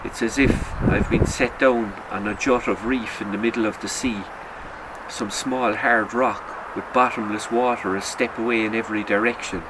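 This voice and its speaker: A man reading a literary short story in a sombre, measured storytelling manner. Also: on the low side, steady tone, background noise. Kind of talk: literary audiobook narration.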